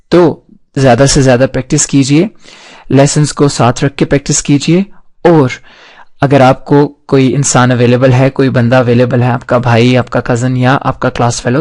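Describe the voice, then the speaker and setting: A man teaching an online English lesson. He enunciates each English example sentence slowly and carefully. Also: mid-range voice, darkish tone, no echo. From Pakistan. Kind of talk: scripted online language lesson.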